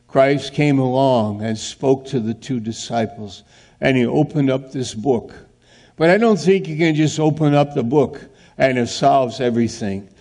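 A male preacher talks in an unhurried, gravelly voice at a middle pitch. He is earnest and poses rhetorical questions. He acts out scenes to make his point. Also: darkish tone. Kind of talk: sermon.

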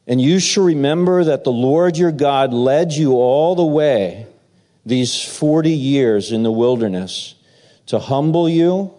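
A man preaching as pastor, halting with many fillers as he talks, then reading scripture aloud. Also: mid-range voice, dark tone.

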